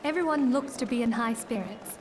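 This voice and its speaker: An actress playing a poised, high-minded woman in a scripted fantasy game. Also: brisk, background noise, close to an everyday voice. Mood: composed.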